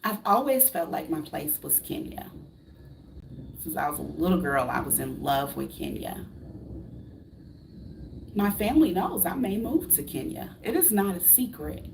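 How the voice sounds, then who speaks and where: Blunt gravelly woman in her thirties. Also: lowish voice, big pitch swings, measured pace, pauses often, noisy background. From United States. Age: 30s. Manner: blunt and preachy, with rhetorical questions and casual swearing. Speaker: vlogger. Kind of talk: candid motivational talk to camera.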